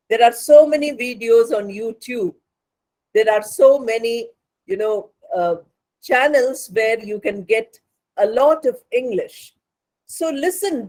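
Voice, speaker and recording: A woman teacher with an Indian accent and Bengali mother tongue speaks in a fairly high voice at a slow, measured pace. She lectures learners in a didactic way, repeating points for emphasis. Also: darkish tone, poor audio. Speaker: spoken English teacher.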